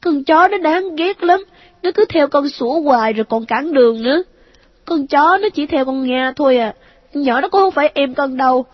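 A woman's voice: high voice, lively intonation, moderate pace, dry recording, lo-fi sound, pitched above the performer's own voice. A woman voicing the ghost of a dead little boy, clingy and pleading in a childlike whine. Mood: resentful.

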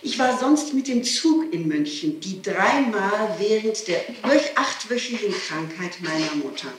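A woman's voice: mid-range voice, expressive, a little slow. A woman being questioned gives her statement emphatically and insistently, repeating herself as she goes. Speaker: person being questioned by police. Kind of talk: statement under interrogation. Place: echoey interrogation room. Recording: noisy, echoey archival tape, distant mic.

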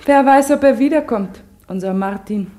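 An actress playing a wistful, studious young farmer's daughter in a radio play. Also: female voice, fairly high pitch, moderate pace, mellow, natural voice.

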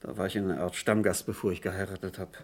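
An actor playing an evasive, nervous insurance agent under questioning in a radio crime drama, halting and apologetic in his speech. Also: sits low, little pitch movement. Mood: rambling, evasive.

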